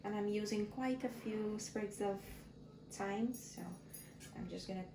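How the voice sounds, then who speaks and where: Slow-talking woman in an echoey kitchen. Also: medium pitch, heavy background noise. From Guyana. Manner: slow, friendly step-by-step explaining. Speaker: cooking channel host. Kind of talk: casual cooking tutorial. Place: home kitchen. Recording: echoey kitchen with clattering pots.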